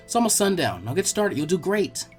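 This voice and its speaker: Man putting on an eager, high-pitched bookish girl. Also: big pitch swings, fairly quick, a little mellow, soft background music. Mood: insistent. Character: eager, bookish girl archivist, proud and pushy. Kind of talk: fan fiction character reading.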